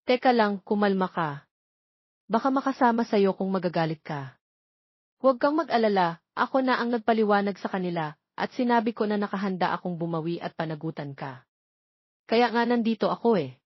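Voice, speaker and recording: A cheeky, eager young suitor voiced by a woman sweet-talks insistently in a husky voice, in the style of an audio romance. The recording is close, dry and slightly dull. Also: mid-range voice, lively intonation, a little fast, pauses often, no room sound. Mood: soothing. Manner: sweet-talking, insistent, unfazed. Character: lovestruck, persistent young bachelor, cheeky and eager. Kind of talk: acted dialogue in an audio romance story.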